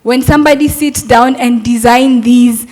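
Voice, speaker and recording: A woman's voice: a little high, steady tone, normal speed, a little mellow. A young adult woman, a Montessori teacher, giving an earnest, persuasive talk at a summit and asking rhetorical questions.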